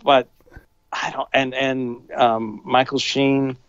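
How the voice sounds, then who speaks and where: A man co-hosts a casual film review podcast and talks in halting bursts, often restarting his sentences. The recording is dry and close, with a somewhat dull, lo-fi quality. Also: medium pitch, level tone, smooth, dry recording. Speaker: podcast co-host.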